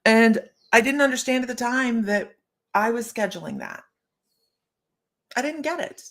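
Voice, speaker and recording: Gravelly-voiced chatty woman host. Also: fairly high pitch, normal speed, a bit bright. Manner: chatty, laughs often, greets viewers by name. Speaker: psychic medium host. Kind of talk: live-stream talk to viewers.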